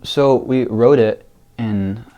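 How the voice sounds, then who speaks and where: A man student musician in an interview, talking casually and haltingly with many likes. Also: medium pitch, muffled recording, over loud music.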